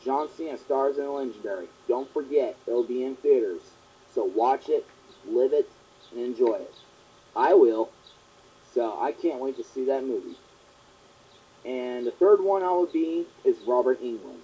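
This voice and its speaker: A young man hosting his own fan question show, talking in a rambling, earnest way that repeats itself, his voice hoarse and partly gone from a long cough. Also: mid-range voice, measured pace, warm tone. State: sore throat, partly lost voice from a long cough. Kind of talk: casual monologue answering fan questions. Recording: dull lo-fi home recording.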